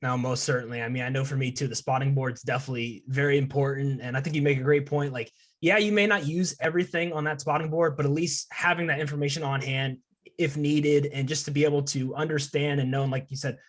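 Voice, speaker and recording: A man's voice: mid-range voice, fairly even intonation, fast. A young man hosting a casual student interview over a video call, effusive and affirming with his guest. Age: young adult. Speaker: student interviewer, podcast host.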